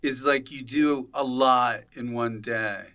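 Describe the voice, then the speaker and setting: A man's voice: mid-range voice, steady tone, slow, pushed and bright. A man hosting a podcast in a casual, comedic interview style, joking and interjecting as he chats. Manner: joking, interjecting, riffing with mock voices. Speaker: podcast host.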